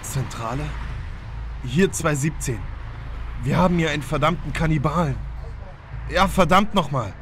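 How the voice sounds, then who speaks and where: Guy playing worked-up cop barking urgently, high voice, street noise behind, audio drama. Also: moderate pace, long pauses, lightly acted. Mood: appalled, urgent. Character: agitated male patrol cop, commanding and appalled.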